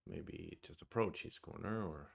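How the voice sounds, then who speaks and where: A man's voice: deep voice, measured pace, vocal fry, breathy edge. A man with a Swedish accent streams his go game, thinking aloud in a hesitant, musing way. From Sweden. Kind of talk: live-streamed game commentary.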